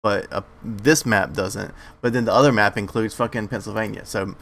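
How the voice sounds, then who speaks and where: A man co-hosting a podcast in casual chat, speaking haltingly with stammers, restarts and casual swearing. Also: lowish voice, fairly even intonation, creaky, fairly bright tone. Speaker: podcast co-host.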